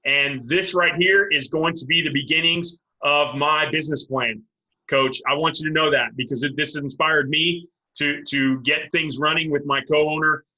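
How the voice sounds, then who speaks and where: A man hosting a casual interview, speaking earnestly to viewers in a halting, repetitive way with emphatic appeals. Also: on the high side, fairly even intonation, sharp tone, low-quality recording. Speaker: host, sports recruiting coach.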